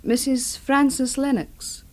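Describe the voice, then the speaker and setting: A woman's voice: high-pitched, steady tone, slow, a little mellow. An actress playing a frank, good-natured, motherly middle-aged village widow in a radio drama, homely and forthright. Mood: frank, reflective.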